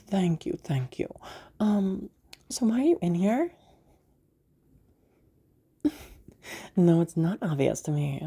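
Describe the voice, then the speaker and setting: A woman voicing a playful, teasing magical woman in a roleplay audio drama, amused and giggly with hesitant pauses. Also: mid-range voice, vocal fry, mellow, breathy edge, voice pushed up, heavily acted. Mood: curious, puzzled.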